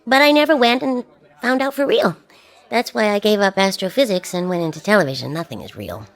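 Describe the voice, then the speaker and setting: A woman's voice: medium pitch, lively intonation, a little fast, close to an everyday voice. A woman playing a wistful, regretful television presenter, confiding and wry, in a comic science fiction radio drama.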